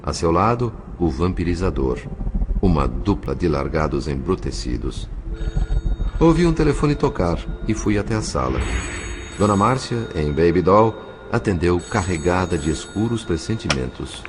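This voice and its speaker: A man's voice: low-pitched, animated, moderate pace, background noise. A male actor narrating solemnly and dramatically as a spirit in a Brazilian audio drama, with a Brazilian accent. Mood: grave, dramatic.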